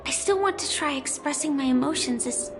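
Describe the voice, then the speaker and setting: An actress voicing a quiet young woman on the run in a game, speaking softly and reflectively. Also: high voice, a little fast, music in the background. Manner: soft, reflective, hushed. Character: quiet young woman fugitive, soft and melancholic. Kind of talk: game dialogue.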